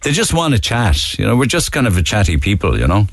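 A man with a Cork Irish accent hosting a radio phone-in, chatty and quick to jump in with questions. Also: lowish voice, very animated, dry recording. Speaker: radio talk show host. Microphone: studio microphone.

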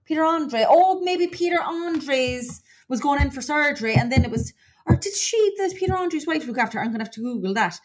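A woman's voice: high voice, expressive, a little fast, poor audio. An Irish woman with a Cork accent co-hosting a podcast in a casual, gossipy, confiding way. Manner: chatty, colloquial, confiding. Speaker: podcast co-host.

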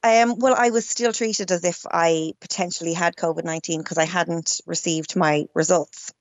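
A low-voiced woman in her thirties recounts her experience with many pauses and ums. The sound is slightly dull and muffled, as if it had lost its top end. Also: fairly even intonation. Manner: hesitant, lots of ums, storytelling. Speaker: new mother, guest. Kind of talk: radio interview.

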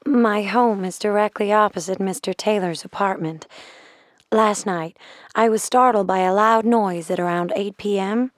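A woman's voice: medium pitch, normal speed, dry recording, clean studio sound, close to an everyday voice. A woman playing an earnest, proper eyewitness giving precise, formal testimony in a radio drama. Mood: earnest, mysterious.

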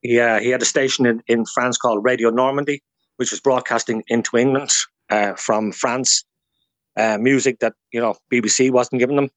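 A man's voice: medium pitch, fairly even intonation, normal speed, no room sound, rough recording. A man with an Irish accent talking as a guest historian in a radio interview, explaining things informally through anecdotes.

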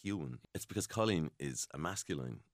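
Man, very deep voice, soft-spoken, hesitant, self-deprecating, dry studio sound. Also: lively intonation, normal speed, no echo. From Ireland. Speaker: actor, interview guest. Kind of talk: relaxed interview chat. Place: radio studio. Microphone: studio mic.

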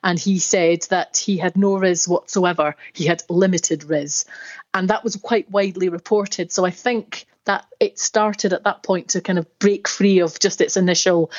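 A woman's voice: fairly low pitch, lo-fi sound. A woman with a Scottish accent, a dictionary editor guest on a radio interview, explaining thoughtfully with fillers and restarts. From Scotland.

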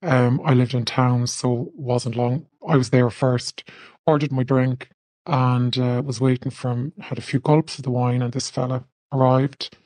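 A woman's voice: steady tone, measured pace, mellow, no room sound. An Irish woman telling a casual anecdote in a voice note, her voice altered by a voice changer. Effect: voice changer lowering the voice. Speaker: anonymous listener sending a voice note.

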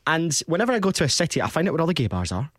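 A fast-talking Scottish man with a slightly raised voice, chatting easily as a co-host. The sound is dry and close, with no room in it. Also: a little high, sing-song, a bit bright, dry recording. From Scotland. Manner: chatty, uses Scottish words. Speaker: radio co-host. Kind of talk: casual chat.

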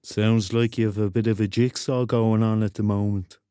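An actor playing the laid-back ghost of a rock star, laconic and dryly teasing, in a radio drama. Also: male voice, fairly low pitch, flat delivery, moderate pace, mellow, clear, resonant tone, no room sound, studio quality, natural voice. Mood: concerned, easygoing.

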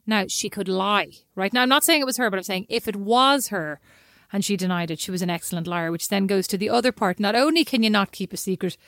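A woman's voice: medium pitch, quick pace, few pauses, fairly bright tone, dry recording, studio quality. A woman with a slight lisp co-hosting a casual chat with her sister, playful and mock-indignant. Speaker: podcast co-host.

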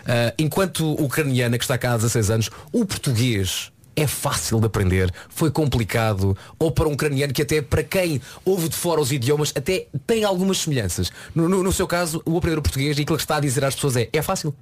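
A man hosting a radio show in a studio, firing off quick questions at a guest. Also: fairly high pitch, lively intonation. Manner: rapid, interrupting questions. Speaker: radio host. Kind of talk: radio interview.

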